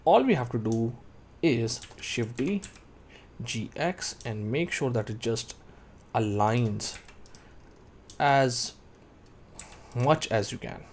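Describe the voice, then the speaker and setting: A man in his thirties narrating a step-by-step software tutorial, explaining each action as he does it. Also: mid-range voice, animated, takes it slowly, pauses often, slight background noise. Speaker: tutorial instructor.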